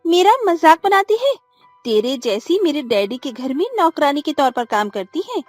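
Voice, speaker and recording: A woman voicing a young, sharp-tongued, dramatic daughter-in-law in a cartoon drama. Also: high voice, big pitch swings, moderate pace, faint music behind. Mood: accusing, angry. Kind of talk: Hindi cartoon drama acting.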